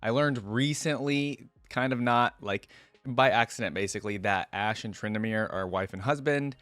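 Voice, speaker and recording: A man's voice: mid-range voice, normal speed, a bit bright, dry recording. A man hosting a reaction video, talking casually and thinking aloud as he comments and reads text out.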